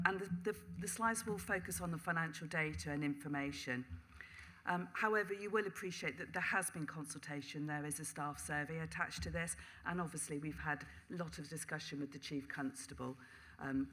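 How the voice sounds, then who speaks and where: A woman with a croaky, sore voice presenting budget slides at a council meeting in a steady, polite, explanatory way. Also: low-pitched.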